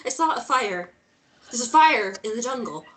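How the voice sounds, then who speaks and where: A woman playing a curious, uneasy young female journalist in a drama read, hesitant and halting, on a noisy recording. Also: a little high, animated, fairly bright tone.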